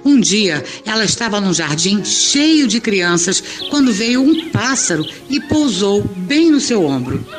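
A woman with a Brazilian accent reading scripted storytelling narration for an audio drama. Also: on the high side.

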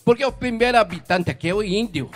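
A man's voice: high-pitched, expressive, fast talker, soft background music. Earnest older man in his seventies. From Mato Grosso do Sul, Brazil. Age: 70s. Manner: earnest, rambling, blessing-heavy. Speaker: herbal medicine vendor. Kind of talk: emotional street testimony.

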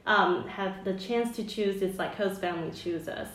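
A woman's voice: medium pitch, normal speed, background noise. Young Taiwanese woman in an echoey room. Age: young adult. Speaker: international student, podcast guest. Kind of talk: casual chat.

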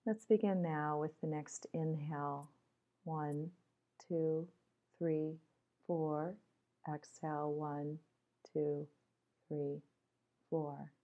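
A woman leading a guided meditation in a measured, instructional way with deliberate pauses, on slightly dull audio. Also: deep voice, fairly even intonation, warm tone.

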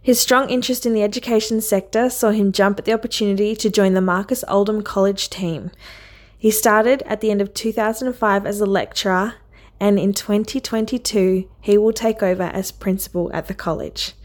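A woman's voice: mid-range voice, steady tone, normal speed, a little mellow, dry recording. A woman hosting a podcast, reading an introduction and then encouraging her guest with affirming remarks. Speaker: podcast host. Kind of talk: podcast interview, reads intro then chats.

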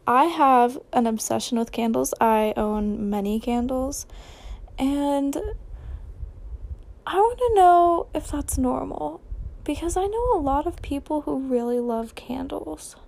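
A woman's voice: sits high, lively intonation, slow pace, creaky, darkish tone. A young woman with an American accent chatting casually on a solo podcast, rambling informally, questioning and correcting herself as she goes.

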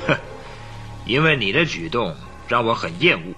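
An actor playing an arrogant young count who secretly runs a gang, sneering and thuggish in a radio drama, with a rough edge to his voice. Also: lowish voice, very animated, normal speed, pushed and bright, faint music behind. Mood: angry, hostile. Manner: sneering, scornful, thuggish speech. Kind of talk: Chinese radio drama acting.

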